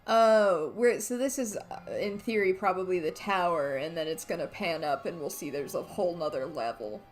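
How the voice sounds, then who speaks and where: A woman's voice: on the low side, normal speed, soft background music. A woman co-hosting a game playthrough in casual chat, speculating and thinking aloud. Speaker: let's-play co-host playing the game.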